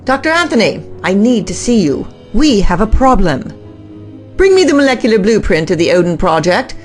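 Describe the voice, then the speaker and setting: A woman's voice: medium pitch, sing-song, normal speed, a little mellow, faint music behind, lightly acted. A woman playing an imperious, impatient boss with a commanding, clipped manner in an audio drama. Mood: urgent, businesslike.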